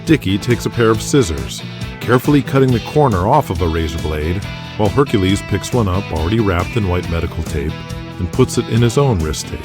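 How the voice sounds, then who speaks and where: Male storyteller, lowish and animated, scripted drama narration, music underneath. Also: fairly quick. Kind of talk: scripted audio drama narration in present tense.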